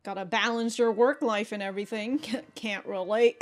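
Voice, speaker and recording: A woman hosting a reaction show, commenting casually in an animated, exclaiming way. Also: fairly high pitch, normal speed, soft background music. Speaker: host of an anime reaction show.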